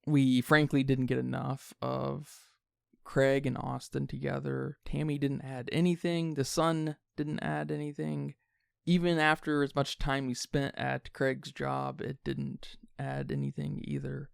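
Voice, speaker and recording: A man giving a measured, critical film review as a podcast host. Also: mid-range voice, gravelly, smooth, no room sound, studio quality.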